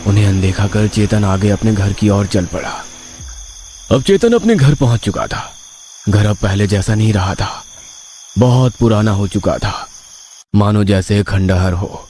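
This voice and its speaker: A man's voice: fairly low pitch, dark tone, a little hiss or hum. A man reading a scripted horror story as its narrator.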